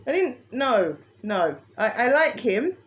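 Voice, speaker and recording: A woman's voice: a little high, sing-song, slow pace. A woman co-hosting a casual chat, giggling and defending herself. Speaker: co-host.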